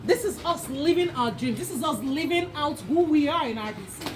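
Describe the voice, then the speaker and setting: A woman from Nigeria sharing her personal story as a bank employee at an outdoor networking event, animated and laughing often. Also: sits high, brisk. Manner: animated, laughing often, acts out quoted voices. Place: outdoor park. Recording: noisy outdoor recording.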